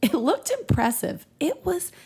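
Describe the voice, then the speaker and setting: A woman's voice: high voice, sing-song, a little slow, dark tone. A young woman hosting a podcast, reading fan fiction aloud with casual asides. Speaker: podcast host and reader.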